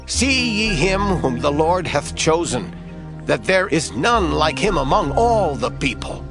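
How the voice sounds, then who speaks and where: A man's voice: on the high side, sing-song, unhurried, over music. A man playing a solemn, commanding prophet addressing his people in a dramatized scripture audio drama, grave and declamatory. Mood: proclaiming.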